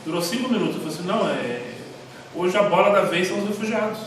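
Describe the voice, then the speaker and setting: A man with a Brazilian Portuguese accent, telling stories in a rambling, animated way while teaching a church class. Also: high voice, fast talker. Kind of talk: informal church class talk. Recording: echoey hall, mic far off, faint noise.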